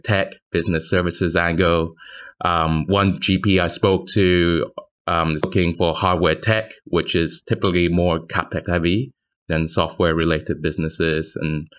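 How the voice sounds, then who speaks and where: A man with a deep, low-pitched voice speaks as a podcast guest. He gives measured, analytical answers in the way of a business reporter. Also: a bit bright. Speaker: podcast guest, senior private equity reporter.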